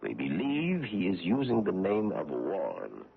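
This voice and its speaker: An actor playing a courteous, probing foreign investigator on the trail of a war criminal, in a 1970s radio drama. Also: male voice, low voice, huge pitch swings, a little slow, gravelly, husky, full character voice. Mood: suave, probing. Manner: courteous, probing, gently menacing. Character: suave middle-aged foreign male war-crimes investigator. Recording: tinny old radio broadcast.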